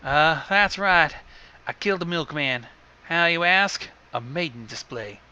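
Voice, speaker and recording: A man's voice: fairly high pitch, a little slow, bright, forceful tone, smooth, dull audio. A man voicing a folksy, easily embarrassed teenage farm girl from a cartoon, with an awkward, drawling manner.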